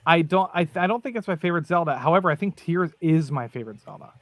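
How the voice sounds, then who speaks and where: Man in his thirties, somewhat high voice, eager gaming talk, dry mic. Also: lively intonation, quick pace, no room sound. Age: 30s. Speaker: guest. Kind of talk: casual gaming chat.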